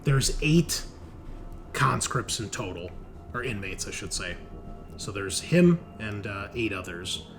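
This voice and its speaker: A man improvising narration as game master of a tabletop game, often halting to search for words. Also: fairly low pitch, animated, soft background music. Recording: noisy room recording.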